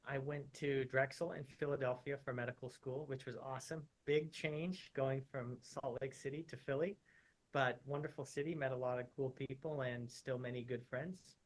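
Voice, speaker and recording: A man's voice: medium pitch, moderate pace, low-quality recording. Laid-back male surgeon in his thirties, from Utah, chatty interview guest who peppers his answers with "like", mid-range voice. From Utah, USA. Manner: relaxed, chatty, uses "like" often.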